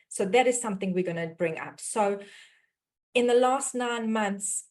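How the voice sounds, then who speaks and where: A woman's voice: medium pitch, darkish tone. South African woman hosting a webinar. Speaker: webinar host, dietitian. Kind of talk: webinar introduction.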